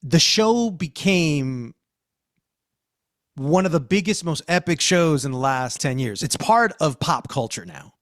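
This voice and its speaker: A man hosting a podcast, talking through analysis conversationally with deliberate, halting phrasing. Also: on the high side, expressive, fairly bright tone, no echo, studio quality. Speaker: podcast host.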